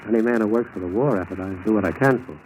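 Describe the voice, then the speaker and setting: An actor playing a mild, fussy male landlord and secret spy in a 1940s radio drama, speaking a little haltingly. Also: on the low side, a little mellow, lightly acted, rough recording. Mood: earnest. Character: male suspected spy, dog-fussing landlord posing as patriot. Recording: old radio recording, faint noise.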